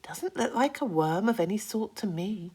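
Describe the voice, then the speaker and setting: A woman voicing a gentle, polite and puzzled young boy in a children's storybook. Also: mid-range voice, expressive, moderate pace, husky, pitched above the performer's own voice.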